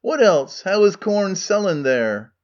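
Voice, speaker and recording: A man's voice: high voice, sing-song, slow pace, pitched above the performer's own voice, full character voice. A man voicing a shrewd, dry-witted male Athenian farmer, delivering verse sarcastically. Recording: muffled lo-fi recording.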